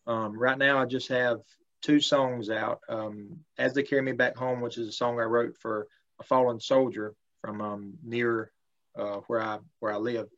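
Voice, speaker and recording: A man, a country singer talking casually in an interview in a relaxed, polite way with many fillers. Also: medium pitch, a little slow. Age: 30s. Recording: slightly dull lo-fi sound.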